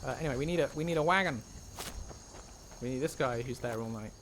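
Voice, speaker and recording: A man's voice: mid-range voice, fast, fairly bright tone, slight background noise. A man livestreaming casually over a game, chatting and thinking aloud as he plays. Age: younger than 50. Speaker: livestreamer, mod creator and voice actor. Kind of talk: casual livestream chat while playing a game. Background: video game music and character dialogue.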